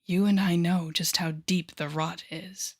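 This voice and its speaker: Casting: sardonic supervillainess played by a woman, lowish voice, theatrical and teasing, secretly soft-hearted, intimate audio drama delivery. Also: fairly even intonation. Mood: exasperated, scornful. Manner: sardonic, theatrical, teasing, with sighs and deliberate pauses. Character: haughty, sardonic telepathic female villain, secretly soft-hearted. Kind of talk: intimate audio roleplay acting addressed to the listener.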